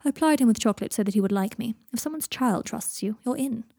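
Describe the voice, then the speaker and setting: A woman's voice: fairly high pitch, expressive, quick pace, gravelly, mellow, husky, no echo, studio quality, full character voice. A woman voicing a flippant, haughty young man with an arch, dramatic manner in an audiobook. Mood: smug, airy. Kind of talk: audiobook character dialogue.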